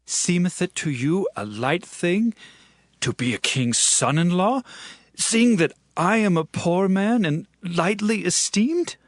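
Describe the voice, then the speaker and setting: A man's voice: high voice, lively intonation, slow pace, dry recording, studio quality. A man playing a humble, earnest young warrior in a dramatized scripture audio, speaking modestly and theatrically. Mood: humble, questioning.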